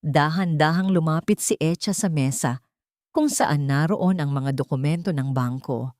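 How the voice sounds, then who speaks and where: A woman narrates a dramatic story in a solemn, measured way. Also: deep voice, a little mellow, no echo, clean studio sound.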